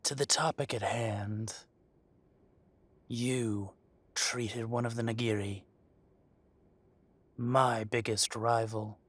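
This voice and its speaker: A man playing an arrogant dragon mafia boss in an audio roleplay, speaking deliberately with mock politeness and quiet threat. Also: mid-range voice, fairly even intonation. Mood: coolly menacing. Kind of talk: scripted roleplay audio drama monologue.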